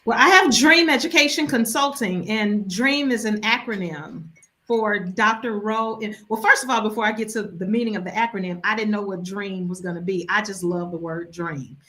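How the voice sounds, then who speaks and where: Animated bright woman educator. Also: mid-range voice, moderate pace. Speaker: education consultant, former teacher. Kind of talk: casual panel conversation.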